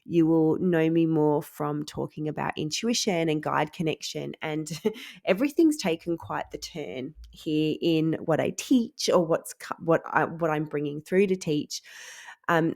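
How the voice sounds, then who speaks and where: A woman's voice: sits low, gravelly, a little mellow. A woman hosting a spiritual podcast, talking casually and earnestly. Her voice is nasal and stuffed up from a head cold. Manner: chatty and earnest, many ums and laughs. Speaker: podcast host.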